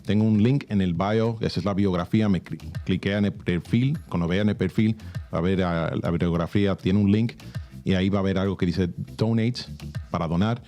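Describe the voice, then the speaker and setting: A man's voice: deep voice, steady tone, fast talker, dark tone, faint music behind. A man hosting a radio show, chatting casually and switching between English and Spanish with upbeat energy. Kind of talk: casual bilingual radio talk. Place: radio studio.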